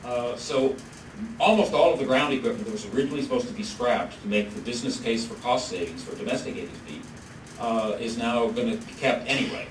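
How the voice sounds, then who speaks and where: A man giving a policy presentation at a conference, halting and full of fillers and restarts. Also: medium pitch. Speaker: policy researcher presenting a study. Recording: echoey room from far off, noisy.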